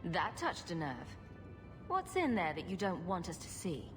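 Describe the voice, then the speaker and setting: An actress playing a sarcastic young rogue adventurer in a fantasy game, with a dry, teasing manner. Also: female voice, on the low side, big pitch swings, normal speed. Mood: probing. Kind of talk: fantasy video game acting. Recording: loud music behind the voice.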